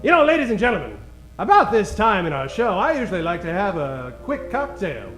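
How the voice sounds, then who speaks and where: A man's voice: high-pitched, very animated, fairly quick. A man playing a theatrical host and narrator of an old-time radio drama spoof. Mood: dramatic. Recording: echoey stage with loud music bed.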